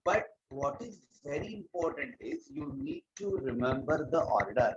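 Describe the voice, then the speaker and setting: An Indian English math teacher gives an online class through an earphone mic. His voice is husky and gravelly. The sound is muffled and lo-fi, and he repeats each point and slips into Hindi now and then. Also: a little high, huge pitch swings, moderate pace, no echo. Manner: repeats key points, mixes in Hindi phrases. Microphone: earphones mic on a video call.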